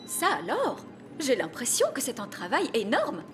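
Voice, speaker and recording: A woman's voice: high voice, wildly swooping pitch, normal speed, over music. A woman voicing a sensible fairy nanny in a children's cartoon, speaking in a dry, proper, matter-of-fact way.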